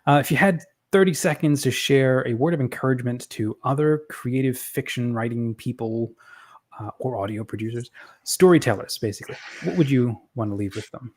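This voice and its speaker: A man's voice: mid-range voice, brisk. A young man hosting a show, chatting casually and upbeat as he interviews and promotes a creative community. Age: young adult. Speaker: podcast show host.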